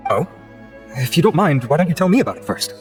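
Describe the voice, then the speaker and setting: An actor playing a courteous, composed law officer in a video game, speaking politely and formally. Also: male voice, fairly high pitch, big pitch swings, quick pace, a little mellow, faint music behind. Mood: courteous, interested.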